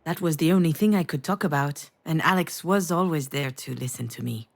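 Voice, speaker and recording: An actress playing a grieving, self-reproaching woman in a video game drama, speaking in an earnest, reflective, halting way. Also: sits low, vocal fry, a little hiss or hum. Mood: remorseful. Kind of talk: dramatic visual novel voice acting with inner narration.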